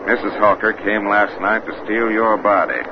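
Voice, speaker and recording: A deep-voiced, laconic investigator, played by a man, talks in a folksy drawl. The acting is from 1930s radio horror, on a muffled recording with clear noise. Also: fairly even intonation, normal speed, close to an everyday voice. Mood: grave, steady. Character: steady, laconic investigator and champion pistol shot, awkwardly lovestruck.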